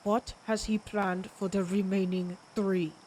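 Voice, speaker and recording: A menacing vampire warrior woman of the ancient tribes, acted by a woman for an audio roleplay, speaks slowly over background noise. She is proud and predatory, toying with her listener in a theatrical, taunting manner. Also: medium pitch, flat delivery, a little mellow, natural voice. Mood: mocking. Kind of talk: dramatic audio roleplay acting.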